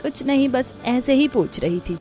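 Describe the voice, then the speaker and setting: A woman's voice: high-pitched, animated, unhurried, warm tone, pitched above the performer's own voice. A woman voicing a caring, middle-aged mother-in-law who asks concerned questions in a cartoon story, with music behind. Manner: kindly, probing.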